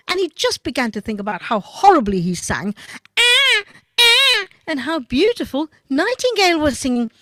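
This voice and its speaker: A woman telling a children's story, animated and talking directly to the children. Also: high-pitched, no echo.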